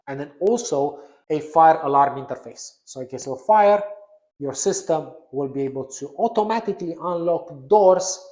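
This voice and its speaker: A man giving an explanatory, semi-scripted product update as a presenter. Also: mid-range voice. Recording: roomy echo.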